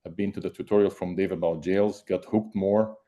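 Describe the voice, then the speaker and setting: Low, dark-voiced man. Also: fairly even intonation. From Egypt. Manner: halting, searching for words. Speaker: meeting participant, software developer. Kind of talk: casual self-introduction on a video call. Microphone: video call.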